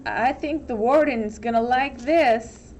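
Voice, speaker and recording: A woman's voice: a little high, a little slow, a little mellow, lo-fi sound, a little hiss or hum, close to an everyday voice. A woman reading a storybook voices a meek, flustered man who works as a camp counselor, hesitant and stammering. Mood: mildly amused.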